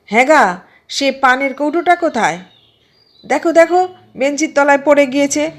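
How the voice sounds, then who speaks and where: A woman's voice: sits high, moderate pace, voice pushed up, lightly acted. A woman voicing flustered, bossy bus passengers in an audio story, calling out urgently.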